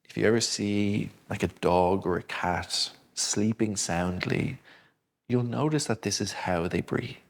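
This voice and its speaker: Man, low gravelly voice, slow soothing breathing instructor. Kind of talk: guided breathing lesson.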